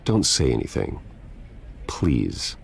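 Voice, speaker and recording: An actor playing a guarded, wealthy secret vigilante in a video game, terse and controlled. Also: male voice, rumbling low, big pitch swings, takes it slowly, creaky, slight background noise, heavily acted. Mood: guarded, stern.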